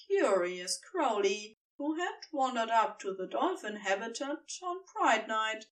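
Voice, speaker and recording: A woman narrating scripted fiction as an audiobook reader, with expressive storytelling and deliberate phrasing. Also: fairly high pitch, distant mic, low-quality recording.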